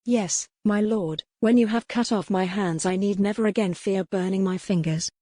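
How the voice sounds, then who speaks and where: A woman's voice: medium pitch, moderate pace, warm tone, dry recording, close to an everyday voice. Woman acting a proud, defiant medieval noblewoman, close-miked. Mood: angry, bitter. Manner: formal, pointed speech. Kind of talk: historical romance audiobook dialogue.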